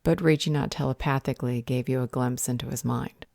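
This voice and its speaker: A woman playing a stern, protective vampire healer in an audio roleplay, speaking in a measured, firm and earnest way. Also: extremely low pitch, fairly even intonation, gravelly, a little mellow, dry recording, studio quality. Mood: grave, guarded.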